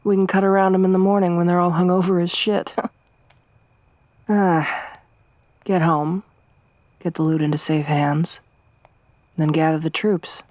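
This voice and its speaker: A woman plays a tough young wasteland survivor who talks low and slow, her voice close and dry with no room sound. Her tone stays flat and even, soft-spoken but gruff, with dry teasing running through it. It's an intimate ASMR roleplay. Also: no room sound, lightly acted. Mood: low-key, wry.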